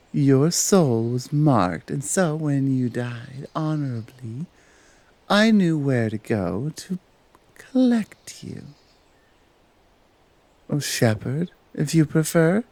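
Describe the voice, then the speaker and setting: Woman acting a dominant valkyrie, ASMR roleplay, slow pace, lively pitch swings, halting, gently commanding. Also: dry recording. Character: dominant, dutiful valkyrie woman guiding fallen warriors. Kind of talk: ASMR roleplay acting, one-sided dialogue.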